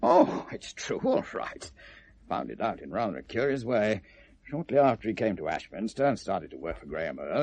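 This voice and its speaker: Insinuating British shopkeeper, gossipy and pointed, husky voice with animated swings, 1970s radio play. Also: male voice, fairly low pitch, heavily acted. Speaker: actor.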